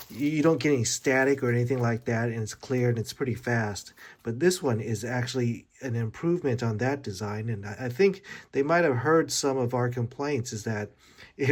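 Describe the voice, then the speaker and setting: A man's voice: medium pitch, darkish tone. A man giving a casual, hands-on gear demonstration, informal and a little hesitant as he thinks aloud. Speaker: gear reviewer. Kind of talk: casual unboxing and setup demonstration.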